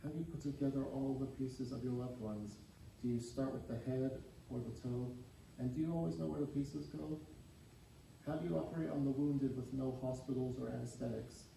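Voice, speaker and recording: Very mellow man reciting in echoey room. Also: medium pitch, steady tone, fairly quick, vocal fry. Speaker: poetry reader. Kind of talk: poetry recitation. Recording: very echoey, distant, faintly noisy recording.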